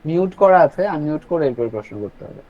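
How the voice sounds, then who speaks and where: High-voiced man presenting, dull audio. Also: lively intonation, fast. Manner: instructive, addresses listeners directly. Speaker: webinar host and presenter. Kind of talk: explanatory online presentation. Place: online video meeting. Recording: dull lo-fi online call audio.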